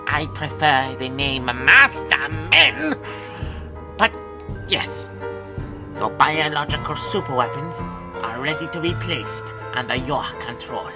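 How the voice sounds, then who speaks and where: A deferential male villain scientist speaks slowly and hesitantly in a highish, swooping voice. Music plays behind him in this audio drama. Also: animated, creaky, heavily acted. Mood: servile, nervous. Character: nervous, servile villain scientist in robot body. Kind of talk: cinematic audio drama acting.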